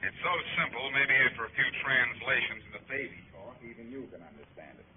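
An actor playing a flippant, teasing amateur sleuth through a telephone filter in a forties radio drama. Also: male voice, medium pitch, expressive, quick pace. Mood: teasing, sarcastic. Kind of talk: 1940s radio drama acting. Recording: muffled tinny phone line, old radio.

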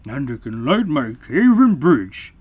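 A man voicing a loud, husky grumpy troll. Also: medium pitch, very animated, measured pace, voice pushed up. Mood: grateful. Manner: loud, grumbling and complaining. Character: grumpy lonely big male troll.

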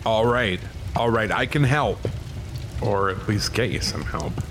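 A man's voice: lowish voice, a little slow, fairly bright tone, slight background noise. A man playing a tense police officer in an audio drama, coaxing and urgent as he negotiates. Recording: music and rain under the voice.

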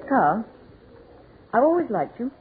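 Lively, reproachful society lady. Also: fairly high pitch, measured pace. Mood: earnest, reproachful. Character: earnest, reproachful society woman, mature and poised. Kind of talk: 1940s radio drama acting. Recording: scratchy 1940s radio broadcast, muffled, noisy.

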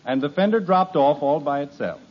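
A patient, dry-witted middle-aged father in a 1950s radio sitcom, his lines sliding up and down with lots of expression. The voice is a bit husky, and the old radio transcription sounds dull. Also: on the high side, animated, brisk. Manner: dry, weary sarcasm.

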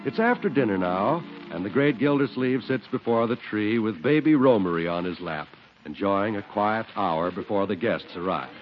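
Lively 1940s radio announcer, a man. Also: fairly low pitch, moderate pace, soft background music. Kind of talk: 1940s radio advertising and scripted narration. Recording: muffled 1940s radio recording.

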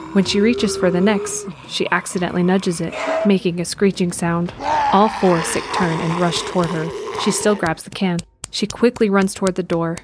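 A woman's voice: lowish voice, background noise. A woman reading scripted narration for an audio drama as its narrator. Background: music.

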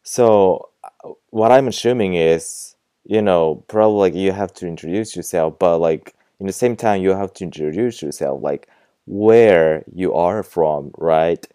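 A young man with a Japanese accent, whose first language is Japanese, hosts a casual podcast lesson in English. His voice is low and smooth, recorded close and dry with no room sound. He rambles in a friendly way, with many "like"s and "you know"s. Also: a little slow, dark tone, no echo. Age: young adult. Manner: chatty, fills speech with "like" and "you know". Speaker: podcast host and language teacher.

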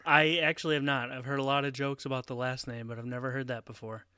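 Easygoing male guest writer, smooth voice, flat delivery. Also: medium pitch, fast, no room sound, studio quality. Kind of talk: casual chat.

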